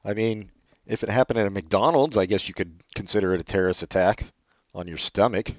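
A man hosting a casual comedy chat, reading online questions aloud and answering with deadpan sarcasm. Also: fairly quick, no room sound. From California. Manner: sarcastic, deadpan jokes with sudden pitch jumps. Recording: dull, muffled lo-fi audio.

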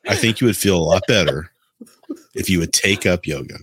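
A man's voice: low voice, brisk, fairly bright tone, husky, no echo. A man co-hosting a podcast, chatting casually and chipping in with teasing remarks. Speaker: podcast co-host. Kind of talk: casual group banter.

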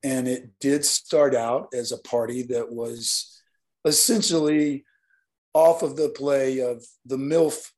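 A hoarse man from Northeast Alabama, a guest talking earnestly and at length in an interview. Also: medium pitch, steady tone, slow, darkish tone. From Northeast Alabama, US South. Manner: earnest, rambling explanations. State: hoarse, partly lost voice. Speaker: guest, nightlife event promoter. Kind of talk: casual interview talk.

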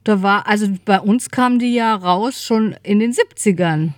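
A woman's voice: medium pitch, normal speed, no room sound, studio quality. A woman in her fifties chatting casually and informally as a podcast co-host. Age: 50s.

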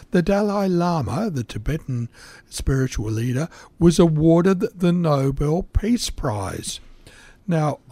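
A hoarse older man, co-hosting a casual community radio chat show. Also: on the high side, darkish tone, no room sound. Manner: chatty, exclaims often, reads out dates. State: hoarse, croaky voice.

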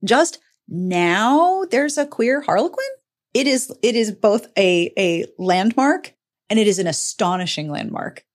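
A woman's voice: medium pitch, lively intonation, measured pace. A woman co-hosting a podcast, chatting casually in an emphatic, blunt manner. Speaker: podcast co-host.

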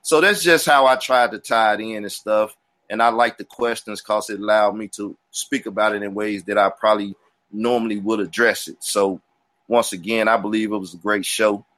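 Male guest Bible teacher, lowish voice, rambling and verse-quoting, dry close sound. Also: fairly even intonation, fairly bright tone, no echo. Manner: rambling, scripture-quoting, halting fillers. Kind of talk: casual explanatory talk.

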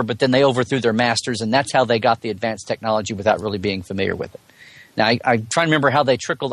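A man's voice: mid-range voice, a bit bright, dry recording. A man chatting casually as a podcast guest, explaining things in detail with jokey asides. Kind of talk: casual interview chat.

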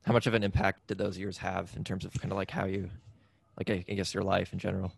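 Male interviewer, casual questioning. Lowish, creaky voice, close dry sound. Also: flat delivery, fast, no echo.

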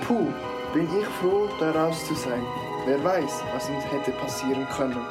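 A woman's voice: low voice, lively intonation, normal speed, loud music behind the voice. A teenage student playing a timid, worried boy in a student radio play. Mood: relieved. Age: teens. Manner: hesitant, worried.

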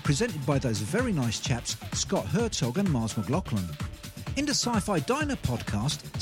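A British man delivers a scripted endorsement with a playful, courteous touch. His voice sits a little high and swings expressively. Music plays clearly behind him. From Britain. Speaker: podcaster giving a promo endorsement. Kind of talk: scripted promo narration.

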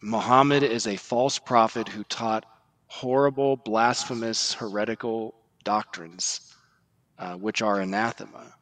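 A man's voice: on the low side, fairly even intonation, unhurried, fairly bright tone, no echo. A man speaking as a podcast guest, giving conversational religious commentary in an earnest, explanatory way.

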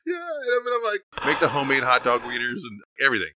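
A man's voice: medium pitch, huge pitch swings, fast, background noise. A man co-hosting a podcast in casual, playful, joking chat. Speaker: podcast co-host.

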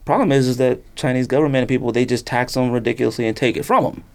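A man's voice: mid-range voice, racing, a little mellow. A middle-aged man hosting a podcast, talking casually and emphatically, rambling and repeating himself to stress his opinions. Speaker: sneaker podcast host. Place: podcast studio.

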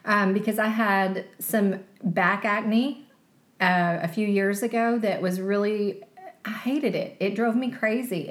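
A woman in her forties co-hosting a casual health podcast, chatty and quick to laugh. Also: medium pitch. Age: 40s. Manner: chatty, laughs easily, talks through advice. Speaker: podcast co-host. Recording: echoey room.

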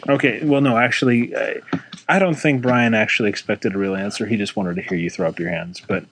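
A man's voice: on the low side, fairly even intonation, a little fast, a bit bright, a little hiss or hum, rough recording. A man co-hosting a casual chat, telling stories and laughing often. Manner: chatty storyteller, laughs often. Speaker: podcast co-host.

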